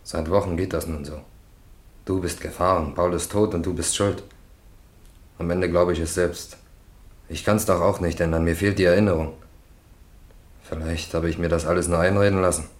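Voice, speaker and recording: A man acts an accused driver in a radio courtroom drama. His voice is low and he answers haltingly and defensively. The room rings around him. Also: steady tone, natural voice. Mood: troubled, defensive. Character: defensive male defendant in a fatal car crash trial. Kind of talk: German radio crime drama acting. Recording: roomy, echoing courtroom sound.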